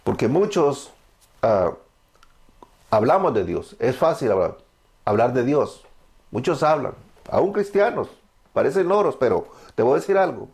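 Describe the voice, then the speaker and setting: A man's voice: mid-range voice, sing-song, unhurried, creaky, pauses often, a little mellow. A man in his thirties from El Salvador, with a Salvadoran accent, preaching his testimony fervently and answering his own questions. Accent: Salvadoran Spanish. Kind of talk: evangelical testimony sermon.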